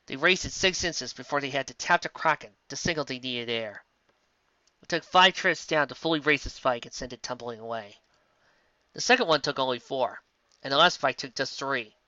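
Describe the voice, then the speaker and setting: A reader narrating a pony fanfiction story in an expressive audiobook style, with sudden jumps in pitch. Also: moderate pace, no room sound, lo-fi sound.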